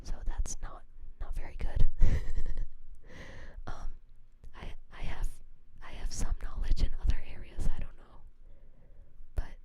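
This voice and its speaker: A young woman streamer chatting in a steady whisper, rambling and swearing freely as she mocks herself. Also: unhurried. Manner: whispering throughout. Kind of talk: whispered casual livestream chat.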